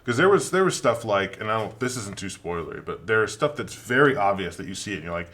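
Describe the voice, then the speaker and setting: A man talking as a panelist in a casual film review chat, in a halting, rambling way. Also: on the low side, sing-song, fairly bright tone.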